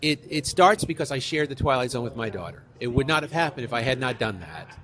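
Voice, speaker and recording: A man's voice: medium pitch, animated, fairly quick, a bit bright. A middle-aged man from New York telling anecdotes in an interview, talkative and enthusiastic about his subject. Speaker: author, interview guest. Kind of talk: conversational interview, anecdotal storytelling.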